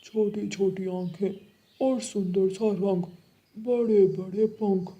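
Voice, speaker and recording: Cartoon owl uncle, acted out: male, vain, easily fooled, husky. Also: medium pitch, steady tone, slow pace, mellow, lo-fi sound. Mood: wishful. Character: gullible, vain owl uncle with big eyes. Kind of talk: Hindi children's cartoon acting.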